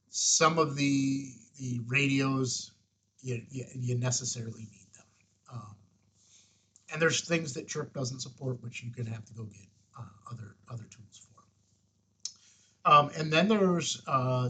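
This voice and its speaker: A man with a mid-pitched, slightly husky voice talks through a hands-on tutorial. He is plain-spoken and folksy, chatting casually as the host of a hobby channel. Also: a bit bright.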